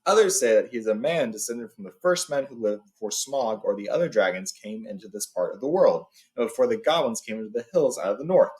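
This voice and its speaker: A man's voice: on the low side, animated, fast talker, few pauses, darkish tone, close to an everyday voice. A man voicing a courteous, authoritative old wizard in a storybook, speaking formally and with emphasis. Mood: cautioning. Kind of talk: storybook read-aloud character.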